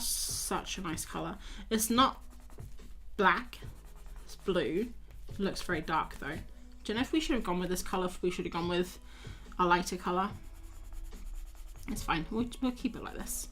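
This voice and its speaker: A woman's voice: on the low side, a bit bright. A young woman, tired and losing her voice, chatting casually with viewers as she paints on a live stream. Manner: chatty, self-mocking, talks to viewers while drawing. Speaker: art streamer.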